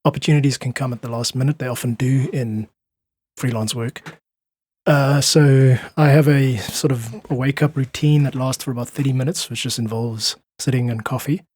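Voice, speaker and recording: A man speaks as a guest in a casual podcast conversation. His voice is husky and sits at a medium pitch, with a thoughtful, explanatory way of talking. The sound is close and dry. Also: steady tone, moderate pace, darkish tone, no echo. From South Africa. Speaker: podcast guest, freelance musician.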